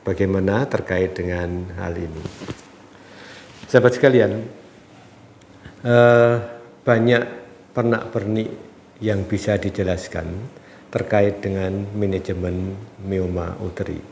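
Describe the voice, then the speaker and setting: Doctor guy with a stuffy cold voice, low and mellow, calm explainer, echoey room with a bit of hiss. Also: creaky. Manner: calm, measured, pauses with "eh". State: congested from a cold. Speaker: doctor answering viewer questions. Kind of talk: explanatory health talk. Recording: echoey room with faint background noise.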